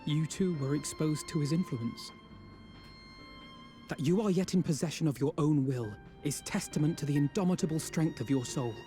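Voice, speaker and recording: An earnest, stern male adventurer from a fantasy game, as a man acts him. His voice is fairly high for a man and very mellow, his phrasing formal, with light music underneath. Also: normal speed, close to an everyday voice.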